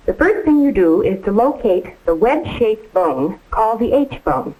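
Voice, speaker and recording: A woman's voice: mid-range voice, sing-song, a little slow, darkish tone, poor audio. A woman giving precise, step-by-step scripted instruction as a home economist in a 1950s training film. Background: music.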